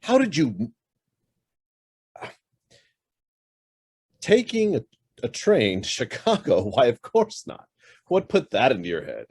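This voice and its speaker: A man acts out a middle-aged businessman in a radio drama. He is curt and demanding, interrogating with guarded suspicion. His pitch swings widely and his voice has a husky grain. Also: wildly swooping pitch, normal speed, darkish tone, exaggerated voice. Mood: agitated, accusing. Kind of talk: stage-style radio drama acting.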